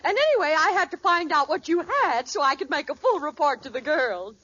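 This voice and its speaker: Very high, swooping society matron. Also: female voice, animated, a little fast, a bit bright. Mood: breezy. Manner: affected, effusive, exclamatory. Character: gushing, gossipy society matron, the best friend. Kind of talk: 1940s radio sitcom acting. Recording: muffled, very noisy old radio recording.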